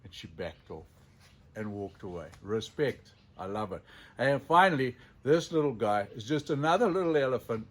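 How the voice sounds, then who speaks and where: Male storyteller, mid-pitched voice. Unscripted and theatrical, leaning on dramatic pauses. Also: expressive. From Zimbabwe. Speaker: storyteller, sculptor presenting his own elephant figures.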